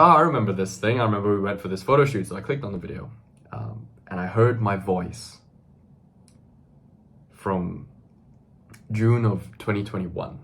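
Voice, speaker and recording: A young man in his twenties with a very low, deep voice talks straight to the camera. He speaks earnestly and emphatically, often tagging his sentences with "right?" and pausing on fillers. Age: 20s. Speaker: self-improvement video host. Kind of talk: direct-to-camera monologue.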